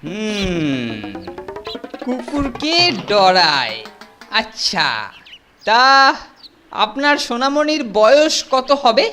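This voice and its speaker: A woman's voice: fairly high pitch, animated, extremely slow, long pauses, a bit bright, soft background music, exaggerated voice. A woman voicing a pompous bossy teen boy. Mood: scheming. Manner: pompous, lecturing, mock-grand. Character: boastful bossy teenage boy, self-important leader. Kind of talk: cartoon dub acting.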